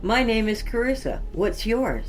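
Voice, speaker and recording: A woman voicing a calm, caring female rescuer with a reassuring manner in a story reading. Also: a little high, unhurried, faint music behind, voice pushed up. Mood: calm concern.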